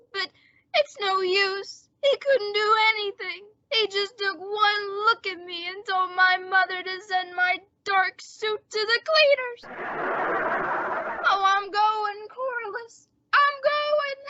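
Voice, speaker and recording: Girl doing a super high lovesick teen boy, slow dramatic boasting type, old radio comedy, kinda muffled sound. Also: sharp tone, dry recording, exaggerated voice. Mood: mock-dying, self-pitying. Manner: drawn-out mock-dying groans and boyish bluster. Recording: dull, band-limited dry audio.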